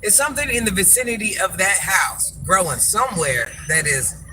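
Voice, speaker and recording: A man's voice: high-pitched, normal speed, music in the background, poor audio. A man hosting a casual livestream from his car after work, chatting with viewers, greeting them by name and drifting off topic. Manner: calls himself granny. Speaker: livestream host. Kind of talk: casual live chat with viewers. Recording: steady car noise behind.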